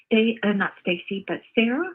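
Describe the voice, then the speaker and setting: An older woman calling in by phone to give her opinion, halting and earnest, often repeating herself. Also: on the high side, lively intonation, slightly rough. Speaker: caller, mother of the host. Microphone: phone call. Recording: muffled, narrow phone call audio.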